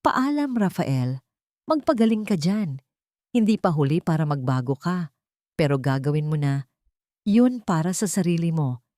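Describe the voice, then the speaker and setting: A woman voicing a resolute young mother in a story, wounded but firm and measured. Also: low voice, sing-song, mellow, no echo, studio quality.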